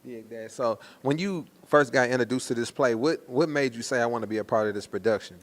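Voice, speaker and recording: A man's voice: mid-range voice, fairly quick. A man interviewing guests in a casual hype style, full of slang and frequent "man" and "yo" as he promotes a stage play.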